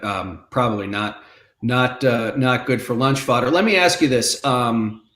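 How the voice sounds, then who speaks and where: A man's voice: medium pitch, sharp tone. A man hosting a political talk show, asking questions with dry, wry asides. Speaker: host. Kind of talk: interview questions. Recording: echoey room.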